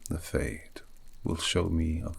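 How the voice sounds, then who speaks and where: A man playing a gentle, wistful elven dream mage in an ASMR fantasy roleplay, murmuring slowly and poetically in a breathy, gravelly half-whisper. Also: very deep voice, slight background noise, heavily acted. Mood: musing, gentle. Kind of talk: ASMR fantasy roleplay, soothing sleep guidance.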